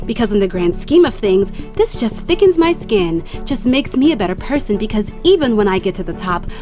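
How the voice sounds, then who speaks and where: A sharp young sports agent. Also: female voice, fairly high pitch, big pitch swings, fairly quick, music in the background. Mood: lecturing. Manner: crisp, lecturing and cutting. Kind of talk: audio drama dialogue.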